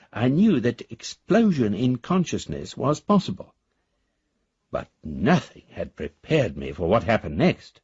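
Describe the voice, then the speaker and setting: Grandiose amateur philosopher, male, florid and theatrical, hugely varied pitch, dry close sound, radio play. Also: darkish tone, slightly rough, dry recording, dull audio, exaggerated voice. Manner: pompous, florid, theatrical phrasing. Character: eccentric, grandiose amateur philosopher, exalted and earnest. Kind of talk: radio drama letter monologue.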